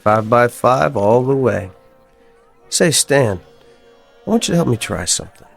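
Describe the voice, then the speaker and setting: An actor playing a brash, sarcastic small-time hustler with an American accent, full of slangy wisecracks in a radio drama style. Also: male voice, medium pitch, expressive, unhurried, creaky, faint music behind. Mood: sly, cocky.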